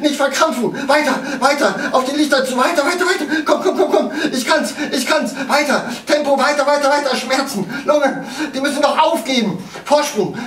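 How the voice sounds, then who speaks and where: A man's voice: very high-pitched, normal speed, hardly any pauses, a bit bright, pitched above the performer's own voice. An actor playing a terrified young man fleeing attackers, speaking in breathless, clipped bursts of inner monologue. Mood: frantic. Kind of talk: stage monologue. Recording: echoey stage sound from far off, faint ambience.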